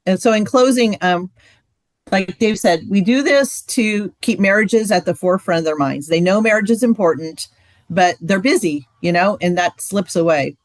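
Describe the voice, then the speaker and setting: A woman's voice: medium pitch, moderate pace, no echo, low-quality recording. A woman co-presenting informally in a conversational way, posing questions and then answering them. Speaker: co-presenter in marriage ministry.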